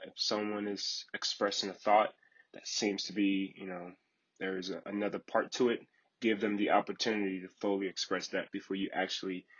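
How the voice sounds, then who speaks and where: A man from Liberia leads a training session in a low, husky voice. His delivery is fairly flat, with a polite, formal, instructional manner. The recording is a little dull and lo-fi. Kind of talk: online training lecture.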